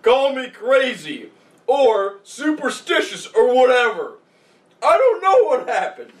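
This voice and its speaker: Animated young man, swooping pitch, off-mic. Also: slow pace. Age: 20s. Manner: animated, sweary, joking. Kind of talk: casual banter, mock-dramatic reading aloud. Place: small room, group on couches. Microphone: far camera. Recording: distant camera mic, room noise.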